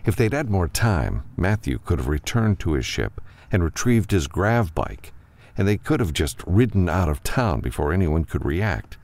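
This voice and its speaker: A man's voice: sits low, very animated, normal speed, a little mellow. A man reading scripted fiction as an audiobook narrator.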